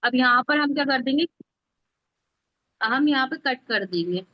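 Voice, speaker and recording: A woman's voice: high voice, expressive, unhurried, dry recording. An Indian woman giving an informal live step-by-step tutorial as an online instructor, checking in with her learners as she goes. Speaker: online instructor teaching mobile video editing. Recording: dull, lo-fi, close dry audio.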